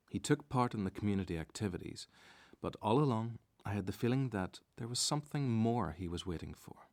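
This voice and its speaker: A man's voice: lowish voice, no room sound. A man playing a reflective narrator who recalls a friend in scripted radio-drama storytelling.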